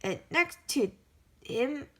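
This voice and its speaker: A woman reading a picture book aloud in a careful, halting way, often stopping to correct herself. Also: high voice, expressive. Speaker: storybook reader.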